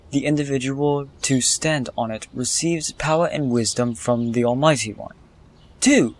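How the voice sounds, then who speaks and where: Devout pack preacher for audio drama, male actor, formal and measured, solemn lore-telling delivery. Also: mid-range voice, lightly acted. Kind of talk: scripted sermon in an audio drama.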